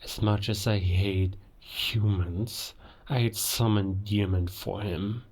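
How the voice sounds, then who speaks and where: A man playing a cold, murderous werewolf spy in an audio roleplay, speaking deliberately with long pauses and quiet menace. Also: lowish voice, fairly even intonation, breathy edge. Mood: cold, matter-of-fact. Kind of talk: cinematic audio roleplay monologue.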